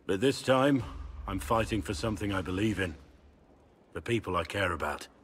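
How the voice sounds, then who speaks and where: An actor playing a gruff, weary middle-aged soldier in a video game, grave, measured and earnest, full of remorse and resolve. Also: male voice, fairly low pitch, animated, a bit bright, slight background noise. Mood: resolute. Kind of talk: dramatic video game acting.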